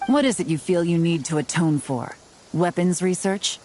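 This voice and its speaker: An actress voicing a confident, sharp-witted young woman in a video game, speaking in an assured, lecturing way. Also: lowish voice, normal speed, soft background music, lightly acted.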